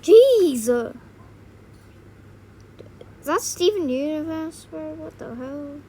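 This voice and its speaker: A woman's voice: high voice, lively intonation, slow, dark tone. A young woman giving casual reaction commentary in a blunt, slangy way. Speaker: reaction video host. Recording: faint background noise.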